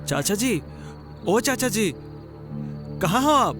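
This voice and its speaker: A man acts out a young traveller in a cartoon ghost story. His voice is high for a man, polite but uneasy and full of hesitant questions, with music clearly behind it. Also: big pitch swings, takes it slowly, exaggerated voice. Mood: worried. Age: young adult. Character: anxious, polite young traveller sheltering from a storm. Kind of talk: acted Hindi cartoon horror dialogue.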